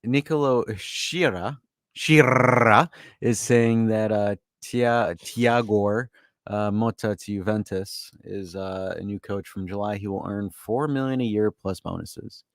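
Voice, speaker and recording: A man co-hosting a casual sports podcast, joking around and laughing often, getting loud when joking. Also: fairly low pitch, slow pace, no echo, clean studio sound. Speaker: podcast co-host.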